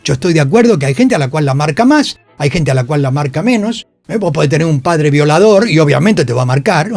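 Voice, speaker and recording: A man's voice: fairly high pitch, lively intonation, fast talker, gravelly, a little mellow, dry recording. An Argentine man telling stories as a radio interview guest, emphatic, repeating phrases for stress and swearing freely. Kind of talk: animated storytelling in a radio interview. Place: radio studio.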